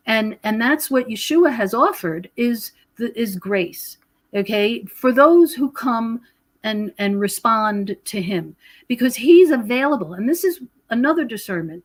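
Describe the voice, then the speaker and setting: A woman guest on a podcast, talking in an emphatic, preachy way about her beliefs, often repeating words and checking in with the listener. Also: on the high side. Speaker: podcast guest, author and researcher of prophecy. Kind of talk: conversational interview, spiritual explanation.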